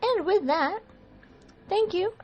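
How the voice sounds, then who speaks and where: A woman's voice: very high voice, lively intonation, slow pace. A woman hosting, giving an introduction and recap for an audio production. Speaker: host and co-director introducing the production. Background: music.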